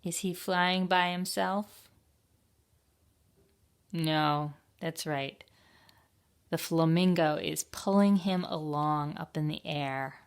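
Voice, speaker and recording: Female storytime reader, slightly low register, very leisurely pace, expressive, puts questions to young listeners. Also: creaky, clear, resonant tone. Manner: expressive, pauses to ask the children questions. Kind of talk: reading a picture book aloud to young children.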